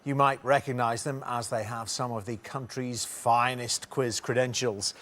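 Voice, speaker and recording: A man's voice: mid-range voice, steady tone, a little slow, a bit bright. A man hosting a television quiz show, chatty and jokey, with frequent fillers.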